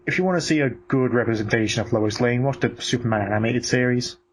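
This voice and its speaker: A man giving blunt, sweary opinions in casual, halting film talk as a podcast co-host. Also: medium pitch.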